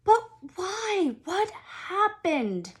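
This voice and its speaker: A woman's voice: extremely high pitch, very animated, very slow, voice pushed up, heavily acted. A woman voicing a bewildered, earnest young boy in a storybook, asking short, urgent questions. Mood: puzzled, curious.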